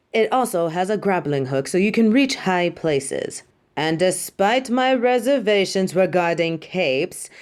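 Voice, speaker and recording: A woman's voice: low voice, a little mellow. A woman playing a vain, imperious superhero costume designer in a theatrical audio roleplay, haughty, dramatic and doting toward the listener.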